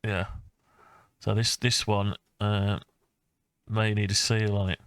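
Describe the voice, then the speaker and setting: Low, gravelly man thinking aloud. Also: flat delivery, no echo. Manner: thinking aloud, hesitant, halting mid-phrase. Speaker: hobbyist maker presenting own design project. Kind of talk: informal screen-recorded CAD design walkthrough.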